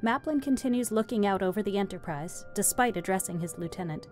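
A woman's voice: mid-range voice, expressive, a little fast, a little mellow. A woman reading scripted narration as the narrator of a screenplay. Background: faint music.

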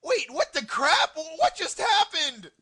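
A performer with a female-sounding voice playing a brash, excitable young ninja in a comic anime parody, exclamatory and blunt. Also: very high-pitched, measured pace, in a large hall, full character voice. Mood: baffled.

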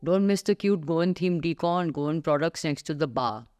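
A middle-aged woman with an Indian English accent reads a travel and food voice-over. Her delivery is measured and descriptive, and she sounds enthusiastic about the dishes. Background music plays under her voice throughout. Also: low voice, darkish tone, no room sound. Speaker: food vlogger host. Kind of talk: scripted voice-over narration of a restaurant visit. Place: voice-over recorded separately from the restaurant scenes. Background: background music under the narration.

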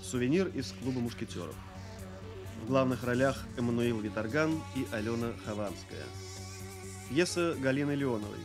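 A male announcer reads radio play credits in a scripted style. His voice is mid-pitched, with music clearly heard behind it. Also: moderate pace.

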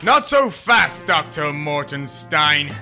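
An actor playing a self-important, penny-pinching superhero in a comedy audio drama, earnest and declamatory. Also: male voice, a little high, sing-song, slow, pushed and bright, soft background music, full character voice. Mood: heroic, puzzled.

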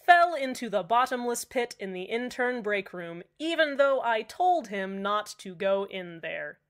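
A woman playing an eerie small-town community radio host in a scripted fiction podcast, deadpan and measured, with deliberate pauses. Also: medium pitch. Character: eerily cheerful female small-town community radio host, uneasily upbeat. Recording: music under the voice. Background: background music.

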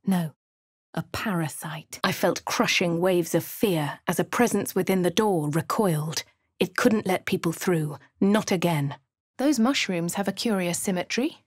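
A woman with a posh English accent voicing a wry young female adventurer in a video game, crisp and clipped. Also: on the low side, no echo. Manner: crisp, clipped, dryly sardonic. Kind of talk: video game character voice lines. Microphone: close studio mic. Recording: dry studio recording.

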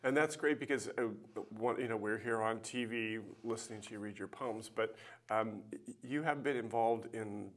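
A man's voice: on the low side, normal speed, fairly bright tone. A man in his sixties hosting a TV arts interview show, friendly and encouraging with his guest. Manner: friendly, encouraging, many backchannels. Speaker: TV show host. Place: TV studio. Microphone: lapel.

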